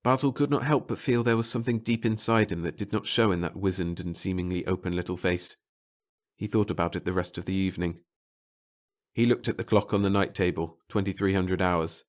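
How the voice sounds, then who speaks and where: A man's voice: sits low, fairly even intonation, fairly quick. A man reading a short story aloud as scripted audiobook narration.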